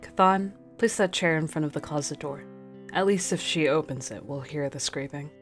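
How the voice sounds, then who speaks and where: A woman's voice: sits low, moderate pace, over music. A woman playing a stern captain of explorers, firm and commanding, in a radio drama.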